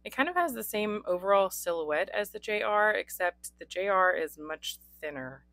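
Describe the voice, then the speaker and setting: Hoarse young woman doing a chatty pen unboxing, lots of ums, dry close sound. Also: mid-range voice, expressive, normal speed, fairly bright tone, no room sound. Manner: chatty, frequent ums, occasional coughs. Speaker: pen reviewer. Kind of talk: unboxing chat. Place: indoors at a desk. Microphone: overhead camera on desk, hands only.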